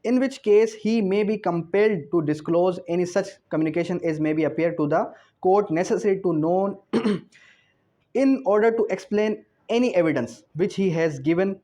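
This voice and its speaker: A man's voice: high voice, drawn-out words, dark tone. A man giving an explanatory law lecture, didactic, posing questions and answering them himself, switching between read-out clauses and explanation. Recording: dull lo-fi sound.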